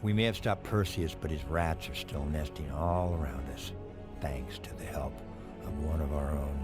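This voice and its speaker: An actor playing a sober, controlled intelligence official with clipped delivery in a video game briefing. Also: male voice, a bass voice, normal speed. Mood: cool questioning. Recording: music under voice. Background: music.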